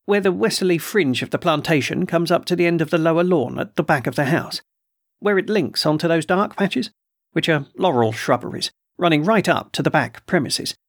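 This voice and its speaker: A man voicing a blustering, excitable old colonel. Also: a little high, brisk, dry recording, clean studio sound, voice pushed up. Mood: agitated. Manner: agitated, emphatic, snorting outbursts. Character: blustering excitable middle-aged male colonel.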